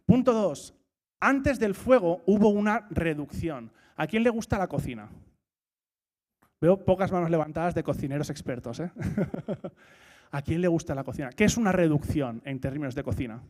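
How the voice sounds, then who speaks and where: High-voiced man preaching. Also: normal speed. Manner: lively, rhetorical questions, repeats key points. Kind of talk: sermon. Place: church.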